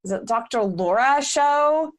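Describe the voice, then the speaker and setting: A woman's voice: sits high, expressive, a little slow. A woman from Houston, hosting an interview in an outspoken, emphatic, debating way. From Houston, Texas. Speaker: podcast host. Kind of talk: casual interview chat.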